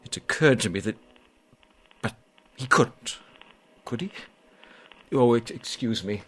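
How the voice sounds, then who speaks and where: An actor playing an anxious, meticulous hotel manager who stammers formally in a radio drama. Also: male voice, medium pitch, expressive, measured pace. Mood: uneasy, wary. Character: stern, anxious, meticulous male hotel manager under suspicion.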